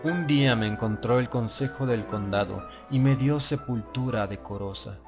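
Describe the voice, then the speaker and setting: A man narrating a gothic horror tale in the first person, with a solemn, measured literary delivery. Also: medium pitch. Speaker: audiobook narrator. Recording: music bed under the voice. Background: prominent music.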